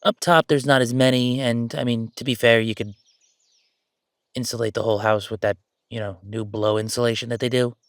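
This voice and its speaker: Man playing a male ghost, thirties, easygoing, wry, rambling conversational delivery, audio roleplay, medium pitch, dry close sound. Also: steady tone, brisk, no echo, natural voice. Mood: helpful, chatty. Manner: casual, rambling, wry asides. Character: chatty ghost of a handy thirty-five-year-old man, wry and easygoing.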